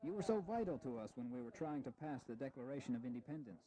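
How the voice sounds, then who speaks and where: A man plays a charming, learned American diplomat of the founding era in an audio drama. His voice is mellow and relaxed, his phrasing articulate and measured, with a gentle teasing edge. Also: medium pitch, close to an everyday voice, poor audio. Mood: warm, sincere. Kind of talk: historical audio drama acting.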